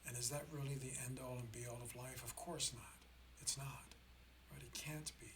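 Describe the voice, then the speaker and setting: A slightly hoarse man hosting a reflective spiritual talk, speaking in a halting, deliberate way and often checking in with the listener. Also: mid-range voice, steady tone, background noise. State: slightly hoarse after a throat infection. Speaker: host of a spiritual learning channel.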